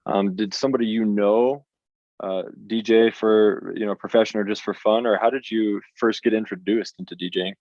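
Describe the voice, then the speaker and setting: A fairly low-voiced man hosts the interview, keen and stumbling over repeated words as he frames each question. The sound is dry and dull, with a lo-fi edge. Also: fairly even intonation, moderate pace, darkish tone, no echo. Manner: eager, repeats words as he starts. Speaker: podcast host.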